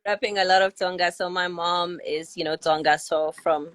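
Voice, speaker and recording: Earnest woman, low voice. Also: fairly even intonation, moderate pace, a bit bright, no echo. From South Africa. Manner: earnest, heartfelt, leans on "you know" and "like". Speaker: podcast guest. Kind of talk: personal storytelling in a podcast interview.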